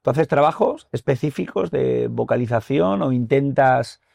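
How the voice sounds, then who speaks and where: Gravelly male podcast host, informal and quick, dry close-miked sound. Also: medium pitch, expressive, a little mellow, no echo. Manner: informal, quick questions, trailing off mid-sentence. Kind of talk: casual interview questions.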